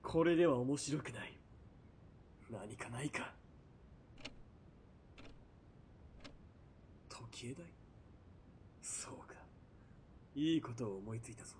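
A man's voice: medium pitch, a little slow, pauses often, a little mellow, slight background noise, natural voice. A man playing a sneering, scheming military police villain in an audio drama, taunting and theatrical. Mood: scheming, muttering. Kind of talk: Japanese audio drama acting.

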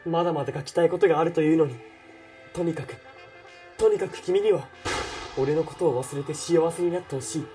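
An actor playing a doomed, tender young soldier, earnestly voicing a remorseful love letter in a radio drama. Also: male voice, on the high side, a little fast, pauses often, mellow, close to an everyday voice. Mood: urgent, tender. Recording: distant voice under prominent music. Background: music.

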